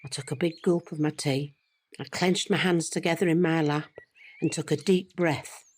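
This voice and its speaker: A woman playing a low-voiced, reflective elderly grandmother. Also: steady tone, darkish tone, husky. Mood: tense, remembering. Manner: confiding, reflective, measured. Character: reflective, regretful, loving elderly British grandmother. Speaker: actor in an audio drama. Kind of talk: dramatic monologue, confiding storytelling.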